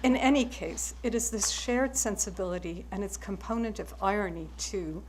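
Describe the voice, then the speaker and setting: Brief: German-born woman, mid-pitched voice, measured and wry, formal speech, faint hiss behind. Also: a little mellow. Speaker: prize recipient giving acceptance speech.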